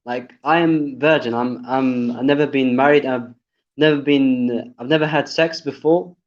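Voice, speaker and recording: A man in his twenties from Algeria, calling in to a live stream in halting English, with lots of fillers and restarts. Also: mid-range voice, steady tone. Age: 20s. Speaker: caller. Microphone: online call. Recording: lo-fi call audio.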